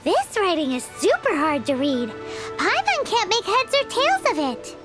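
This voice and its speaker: A woman voicing a tiny, childlike, cheeky fairy companion in a video game, blunt and teasing, with a squeaky voice. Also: huge pitch swings, unhurried, full character voice. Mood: puzzled. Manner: speaks of herself in third person, blunt, teasing. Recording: music loud behind the voice.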